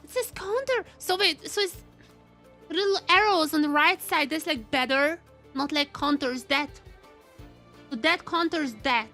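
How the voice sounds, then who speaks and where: A woman game streamer giving casual, expressive live commentary, often repeating her phrases. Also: high-pitched, unhurried, soft background music.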